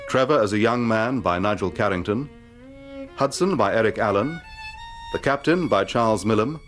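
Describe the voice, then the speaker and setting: A man's voice: on the low side, a bit bright. A man formally reading scripted closing credits as a radio drama announcer, in an orderly way. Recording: violin music behind voice. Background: solo violin music.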